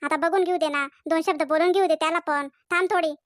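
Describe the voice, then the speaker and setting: A woman's voice: very high-pitched, steady tone, quick pace, a bit bright, dry recording, clean studio sound. A woman voicing a lovesick, emotional young village woman in a cartoon comedy, who pleads with and reproaches her sweetheart. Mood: pleading.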